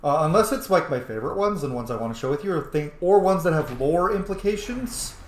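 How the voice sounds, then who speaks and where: A man's voice: fairly high pitch, lively intonation, fairly quick. A man giving casual, playful let's play commentary, muttering informally to himself as he goes, in an echoey room. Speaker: gaming video host. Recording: echoey room with faint noise.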